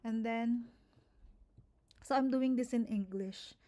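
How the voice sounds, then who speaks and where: A woman's voice: on the high side, takes it slowly, warm tone. A young woman with a Filipino accent, whose first language is Tagalog, explaining an application step by step in a screen-recorded tutorial, often restarting words and checking in with "okay?". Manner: repeats herself, frequent restarts and "okay?" checks. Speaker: nurse giving an application walkthrough.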